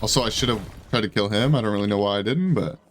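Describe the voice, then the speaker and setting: A man's voice: on the low side, fast, background noise. A man giving casual, rambling gameplay commentary in slangy gamer talk, sounding congested, with his voice slightly giving out. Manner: slangy, rambling, self-deprecating, says dude and bro. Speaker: gaming YouTuber.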